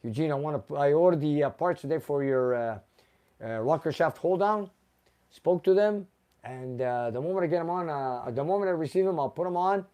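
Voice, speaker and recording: A man's voice: on the high side, fairly quick, dark tone. A man hosting a casual live car show, reading out viewer names and comments in a chatty way.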